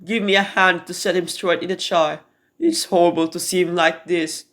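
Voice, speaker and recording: A woman playing a cunning, murderous noblewoman who feigns grief and mercy, theatrical and deliberate, in an amateur radio drama. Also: on the low side, fairly even intonation, fairly bright tone, close to an everyday voice. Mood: grim, resigned.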